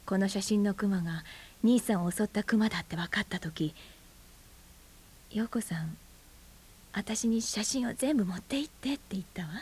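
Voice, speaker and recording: A husky aunt in a radio drama, breathy and guarded. Her casual, blunt phrasing covers a buried grief. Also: mid-range voice, normal speed, long pauses, a little mellow. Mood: quiet, sorrowful. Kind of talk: naturalistic Japanese radio drama acting. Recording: 1990s FM radio drama on cassette.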